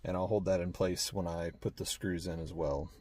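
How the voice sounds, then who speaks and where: A man's voice: sits low, steady tone, moderate pace, darkish tone, a little hiss or hum. A man giving a casual, plain-spoken hands-on tutorial, talking through each step as he works. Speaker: vlogger doing a DIY truck install.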